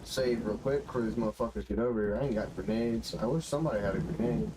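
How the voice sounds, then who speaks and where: A man's voice: fairly low pitch, fast. A man giving casual, rambling live commentary as a game streamer, muttering and swearing to himself with a stuffy-sounding nose. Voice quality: stuffy-nosed. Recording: gunfire and game noise behind voice. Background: video game gunfire and ambience.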